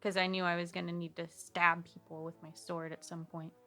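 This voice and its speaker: A woman chatting casually as a player on a tabletop game podcast, animated, slangy and jokey. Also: lowish voice, fairly quick, soft background music. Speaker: player on an actual-play podcast.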